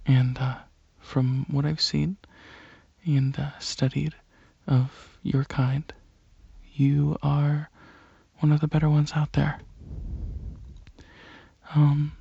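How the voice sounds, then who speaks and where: A soft-spoken ASMR performer playing a gentle, shy, caring alien dragon, talking hesitantly in a breathy half-whisper. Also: mid-range voice, flat delivery, takes it slowly, pauses often, no room sound, muffled recording.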